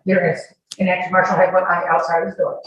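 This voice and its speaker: A woman's voice: fairly low pitch, little pitch movement, rapid-fire. Woman testifying, very echoey and distant. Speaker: witness testifying in court. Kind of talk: answering questions under questioning. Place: echoey courtroom, far from the mic. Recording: echoey, distant courtroom audio with faint noise.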